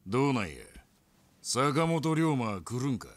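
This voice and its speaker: An actor playing a brash, blunt fighter in a video game, rough in manner, with a Kansai accent. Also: male voice, medium pitch, animated, normal speed, lightly acted. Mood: gruff. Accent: Kansai Japanese. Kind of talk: dramatic video game acting.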